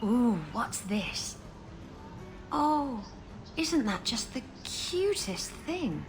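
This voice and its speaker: An actress playing a flirty, teasing roguish adventuress in a video game, with a playful, suggestive drawl. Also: female voice, a little high, very animated, drawn-out words, crowd noise, heavily acted. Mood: teasing delight. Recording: game audio over clear background ambience.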